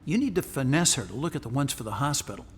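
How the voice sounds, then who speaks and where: A man's voice: medium pitch, sing-song, fast talker, big-room sound, slight background noise, lightly acted. An actor playing a playful, flirtatious male ghost in a contemporary radio drama. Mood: confiding, flirtatious. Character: male ghost, teasing companion to a woman investigator.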